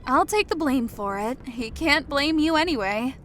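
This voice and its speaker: Casting: actress as easygoing, playful fantasy heroine, slightly high voice, casual confiding storyteller, music underneath. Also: female voice, lively intonation, moderate pace, a bit bright. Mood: shrugging, dry. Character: Olivara, pregnant woman, playful, sheepish, easygoing. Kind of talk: fantasy audiobook dialogue over music.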